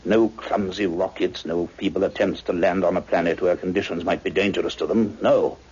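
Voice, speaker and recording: A man acting a thoughtful, learned scientist in an old radio science fiction drama, speaking in a grave, measured, scholarly way. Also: low voice, steady tone, darkish tone, a little hiss or hum, close to an everyday voice. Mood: grave wonder. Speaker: lead actor and first-person narrator. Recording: muffled, dull old radio recording.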